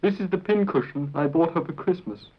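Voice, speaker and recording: A rueful British nephew narrates drily in a fairly high male voice. The sound is that of a dull old film soundtrack. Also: a little fast, breathy edge. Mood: rueful, dry. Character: rueful, deadpan nephew who lost his aunt's inheritance. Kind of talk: scripted first-person film narration, 1940s.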